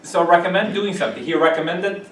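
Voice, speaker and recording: A man teaching an English vocabulary lesson to a class, playful and quick to laugh, giving example sentences. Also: high voice, fairly bright tone, slight background noise. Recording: echoey classroom, camera from a distance.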